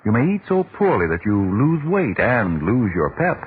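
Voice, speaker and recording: A man announcing and pitching a product in scripted 1940s radio style, formal and persuasive. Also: very animated, moderate pace. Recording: tinny, noisy 1940s radio recording.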